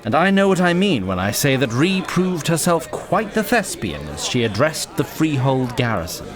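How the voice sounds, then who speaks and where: A man playing a worldly, wry storyteller who narrates a fantasy audio drama in an expressive way. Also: a little high, moderate pace, a crowd in the background. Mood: wry, knowing.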